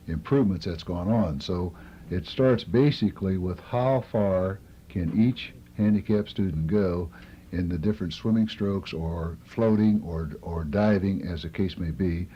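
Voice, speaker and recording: A man's voice: low voice, a little slow. A middle-aged man, a college coach, explaining things in a radio interview. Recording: dull old tape with faint noise.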